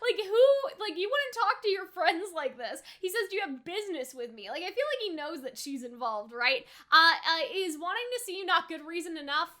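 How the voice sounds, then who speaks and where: A woman's voice: very high-pitched, lively intonation, normal speed, hardly any pauses, pushed and bright. A young adult woman giving casual reaction commentary in an informal, slangy way, often tossing in rhetorical questions. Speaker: reaction video host.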